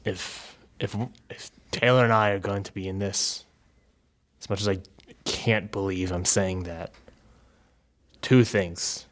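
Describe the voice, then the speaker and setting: A man playing a guarded, blunt male negotiator in a tabletop game, deliberate and terse. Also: low-pitched, fairly even intonation. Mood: grave, demanding. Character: guarded, blunt man bargaining for his companions.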